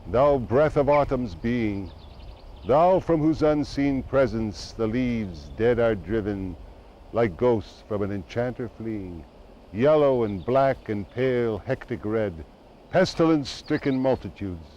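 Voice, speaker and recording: A man giving a dramatic poetry recitation in a solemn, declamatory manner with deliberate phrasing. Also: mid-range voice, expressive, warm tone, muffled recording, a little hiss or hum. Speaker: poetry reader.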